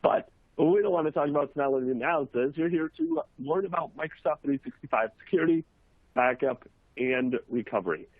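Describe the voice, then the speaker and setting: Hoarse man presenting a webinar. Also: fairly high pitch, moderate pace, no room sound. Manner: relaxed, conversational, with frequent fillers. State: hoarse from a cold. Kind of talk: webinar talk with slides. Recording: tinny phone-like line, dry.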